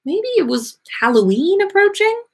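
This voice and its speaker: A woman voicing a weary, listless old male ghost professor in a storybook reading, hesitant and deadpan. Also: very high-pitched, normal speed, vocal fry, pitched above the performer's own voice, heavily acted. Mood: musing.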